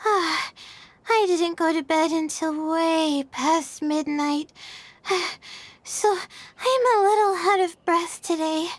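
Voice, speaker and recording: A woman voicing a sleepy, worn-out young female student in a video game, tired and a little short of breath, talking softly and earnestly. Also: very high voice, takes it slowly. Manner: soft, drowsy, earnest.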